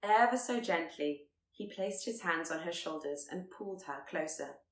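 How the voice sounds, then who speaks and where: A woman reading literary fiction aloud as an audiobook narrator, with expressive delivery. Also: lowish voice, moderate pace, reverberant.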